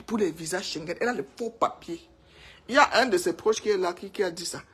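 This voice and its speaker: Cameroonian woman vlogger, husky breathy voice, excitable, chatty gossip to camera. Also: fairly low pitch, fast. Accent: Cameroonian French. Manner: emphatic, excitable, repeats herself for effect. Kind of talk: casual gossip monologue to viewers.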